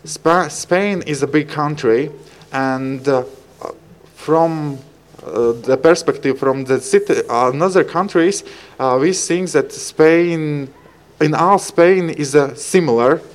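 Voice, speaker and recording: A man's voice: fairly high pitch, slow pace. A man with a Latvian accent, a visiting teacher answering interview questions in a hesitant way, searching for words and using many fillers. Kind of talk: casual radio interview.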